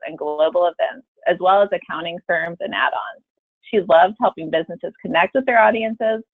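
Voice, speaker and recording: A woman's voice: lowish voice, no echo. A woman who works as a marketing manager opens a webcast with a polished, scripted introduction of the guest speaker. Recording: tinny phone line, dry.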